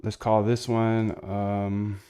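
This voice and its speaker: A man's voice: fairly low pitch, level tone, slow pace, dark tone, clear, resonant tone. A man streaming as he works on his screen, rambling and slangy, talking to chat as he goes. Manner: rambling, slangy, talks to chat while working. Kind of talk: casual screen-share chat.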